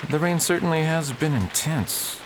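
An actor playing an earnest, troubled married preacher in a radio drama, formal and restrained in manner. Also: male voice, fairly high pitch, normal speed, lightly acted. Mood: solemn, persuasive. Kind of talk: period stage-style radio drama acting. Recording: noisy, rain-like background hiss.

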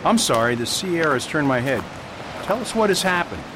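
A man playing a gentle, thoughtful priest in an amateur radio drama, speaking mildly and politely. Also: fairly high pitch, sing-song, a little fast, background noise. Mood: calm. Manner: mild and polite.

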